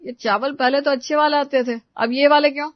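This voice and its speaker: A man playing disgruntled, fussy men of a household in an animated family story. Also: very high-pitched, fairly quick, dry recording, muffled recording. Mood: annoyed. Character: disgruntled husband and fussy elderly father-in-law of a household.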